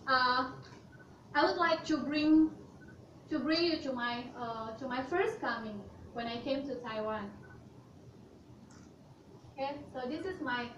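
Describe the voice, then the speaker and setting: A young woman from Indonesia speaks with a high voice and halting delivery, full of fillers and restarts. Her voice sits some way from the mic in an echoey hall, and the sound is muffled. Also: slight background noise. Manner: hesitant, many fillers and restarts. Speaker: student speaker. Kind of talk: presentation talk. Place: university hall. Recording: roomy, lo-fi sound from a distant mic.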